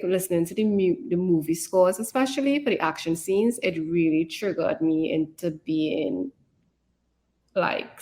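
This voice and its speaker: A woman's voice: on the low side, a little mellow. A woman author chatting casually about writing in an encouraging way, often repeating phrases for emphasis. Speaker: author, co-presenter.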